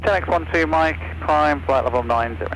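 A man's voice: on the high side, a little fast. A man speaking as an air traffic controller in clipped phraseology over aircraft radio. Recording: aircraft radio with faint noise.

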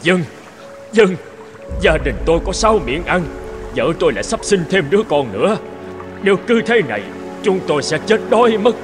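A man playing a burly old fisherman father, anxious and regretful, in an animated fairy tale. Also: sits high, moderate pace, long pauses, music in the background. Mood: awed, pleading.

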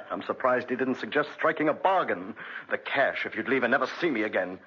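A man's voice: mid-range voice, expressive, fairly quick, breathy edge. An actor playing a guilt-ridden, bitter Englishman with a brooding, dramatic delivery in a 1940s radio drama. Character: haunted, guilt-ridden Englishman, bitter former debtor prisoner. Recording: dull restored 1940s radio recording.

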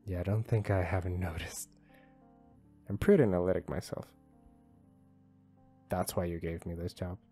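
Man acting a low, breathy, flirty office crush. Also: steady tone. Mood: teasing, flirty. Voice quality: soft, breathy, often dropping to a whisper. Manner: slow, halting, intimate, teasing. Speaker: ASMR voice actor. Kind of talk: ASMR romantic roleplay. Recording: music behind a close voice. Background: soft instrumental music.

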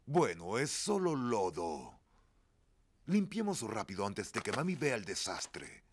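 A grumbly, good-natured daddy pig from a preschool cartoon. He blusters in a slow, hugely expressive way. There is faint background noise. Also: fairly low pitch, heavily acted.

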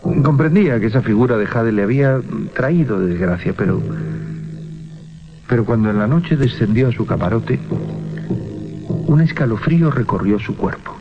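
An ominous storyteller played by a man narrates horror with a Spain accent and theatrical pauses. His medium-pitched voice is very lively, on muffled 1980s radio with music behind. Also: natural voice. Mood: ominous suspense. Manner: suspenseful, theatrical pauses and repetitions. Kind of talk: dramatic scripted radio narration.

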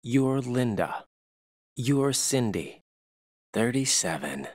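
Casting: young rookie agent for a game, medium pitch, measured pace, earnest and questioning. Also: male voice, lively intonation, no room sound, studio quality. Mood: probing. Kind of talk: video game voice acting.

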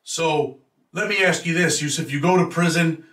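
A man's voice: a little high, normal speed, fairly bright tone. Male interview host, middle-aged, casual, direct questions, echoey room, distant mic. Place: indoor room with banners. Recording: roomy, slightly distant mic.

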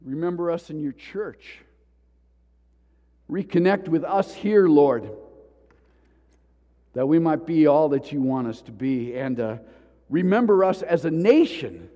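A male pastor preaching a sermon with passion, swinging between loud pleas and hushed tones. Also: fairly high pitch, lively intonation, unhurried, pauses often, big-room sound. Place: church sanctuary.

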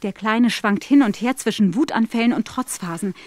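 An actress playing a polite, anxious young governess in a German audio drama, speaking in an earnest, worried, formal manner. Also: female voice, fairly high pitch, moderate pace, no room sound, lightly acted. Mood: distressed, indignant. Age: young adult.

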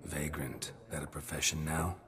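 An actor playing a gruff, stoic monster hunter in a video game, terse and deadpan. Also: male voice, a bass voice, moderate pace, noisy background. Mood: impatient. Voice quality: gravelly, hushed. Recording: music behind the voice.

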